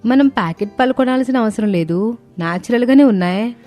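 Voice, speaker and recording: A woman playing a cheerful, eager young city-bred daughter-in-law in an animated moral story. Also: a little high, a little fast, lightly acted. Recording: music bed under the voice.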